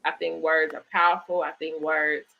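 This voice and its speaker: A woman with a slightly low voice hosts a live online interview. She talks conversationally and in an affirming, encouraging manner. The sound is a little dull and lo-fi, like a livestream. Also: level tone, normal speed, vocal fry, slight background noise. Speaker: host interviewing an author.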